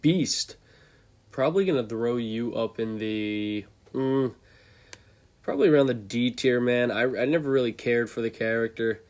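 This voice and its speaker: A man's voice: medium pitch, normal speed. A young man with a congested voice, hosting a casual, off-the-cuff tier-list commentary and thinking aloud as he goes. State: congested from a cold. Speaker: host.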